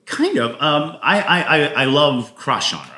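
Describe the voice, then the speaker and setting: A man speaking as a guest playwright in a conversational interview, thinking aloud in a halting way and often acting out little quotes as he explains. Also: fairly high pitch, sing-song, pushed and bright. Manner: halting, thoughtful storytelling with self-quotes.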